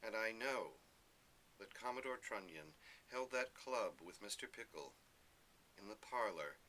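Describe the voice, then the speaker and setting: A man giving an expressive literary reading in the first person, with measured, dramatic phrasing. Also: on the low side, a little hiss or hum. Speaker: reader of a classic novel excerpt.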